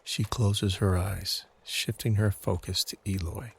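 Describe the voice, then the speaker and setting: A man giving measured, suspenseful scripted narration for an audio drama. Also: fairly low pitch, fairly even intonation. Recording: clear background ambient noise.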